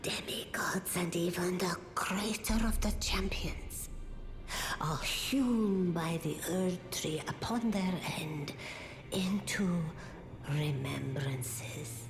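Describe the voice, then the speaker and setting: An actress playing an ancient, solemn old female oracle in a fantasy game, with a breathy, aged voice and a reverent, portentous way of speaking. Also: on the low side, roomy sound, faint music behind. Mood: solemn, knowing. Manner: slow, reverent, portentous, archaic phrasing. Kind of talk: scripted fantasy game dialogue.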